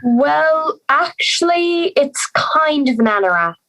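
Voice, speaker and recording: A woman's voice: high-pitched, very animated, slow, a bit bright, heavily acted, poor audio. A young woman playing an anxious young schoolgirl in a fairy-tale audio drama, hesitant and pleading. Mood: sheepish. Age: young adult.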